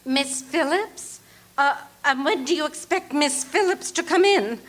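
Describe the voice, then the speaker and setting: A woman's voice: high voice, unhurried, a bit bright. An actress playing a frantic, demanding invalid woman alone at night in a live radio play, fretful and breathless. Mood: anxious. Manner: fretful, breathless, rising into panic.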